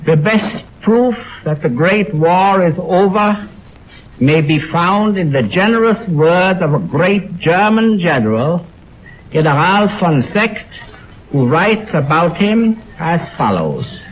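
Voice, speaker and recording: A man giving a formal, solemn 1920s radio address as an orchestra's host, with deliberate phrasing, on an old broadcast recording. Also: high voice, sing-song. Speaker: orchestra conductor hosting a radio concert. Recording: dull 1929 radio aircheck on optical film.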